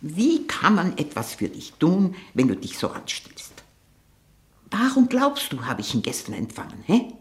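A woman playing a domineering, scheming, sickly old aunt in a 1960s radio play, with a breathy voice that often drops into a whisper. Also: fairly low pitch, huge pitch swings, a little slow. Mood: scolding, impatient.

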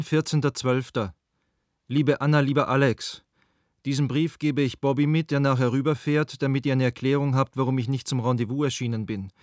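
A man's voice: mid-range voice, dry recording, lightly acted. An actor as a rueful letter-writing man, dry sound. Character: Walter, apologetic Viennese man, rueful, wry friend writing letters. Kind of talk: radio drama, letter read aloud.

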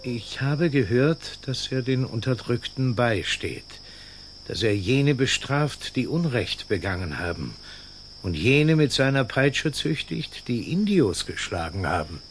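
An actor playing a frank, fearless friar in a German radio play, dignified and outspoken. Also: male voice, mid-range voice, very animated, measured pace, a little hiss or hum. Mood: calmly defiant. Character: frank, fearless Franciscan friar, unbowed before soldiers. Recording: faint night crickets behind. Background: faint night insect ambience.

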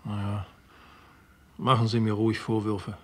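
Apologetic junior police officer. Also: male voice, fairly low pitch, flat delivery, unhurried, fairly bright tone, husky. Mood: guilty, concerned. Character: apologetic, concerned male subordinate police officer. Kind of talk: German radio crime play acting.

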